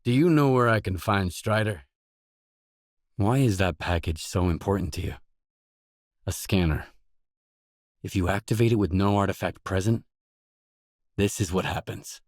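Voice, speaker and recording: An actor voicing a gruff, guarded stalker mercenary in a video game, blunt, terse and no-nonsense. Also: male voice, low-pitched, moderate pace, pauses often, no room sound, clean studio sound. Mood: guarded, matter-of-fact. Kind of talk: video game dialogue lines.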